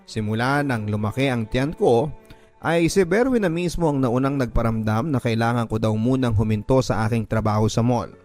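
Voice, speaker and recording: A man's voice: mid-range voice, moderate pace, darkish tone. A man with a Filipino accent reads a dramatic first-person story in an earnest, sentimental radio-drama style. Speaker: radio drama narrator reading a listener's letter. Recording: music bed under the voice. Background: background music.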